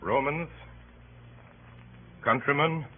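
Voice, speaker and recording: An actor playing a solemn, honorable Roman senator giving measured classical oratory in a radio drama. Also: male voice, a little high, breathy edge, full character voice. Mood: impassioned appeal. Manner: deliberate, measured rhetoric. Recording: tinny old radio recording, faint noise.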